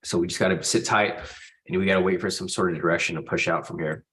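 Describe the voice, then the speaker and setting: A man's voice: sits low, fairly even intonation, fast, fairly bright tone, low-quality recording. A man with a stuffy nose from a cold, hosting a live trading stream in a casual, informal way and addressing viewers directly. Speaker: host of a live trading room.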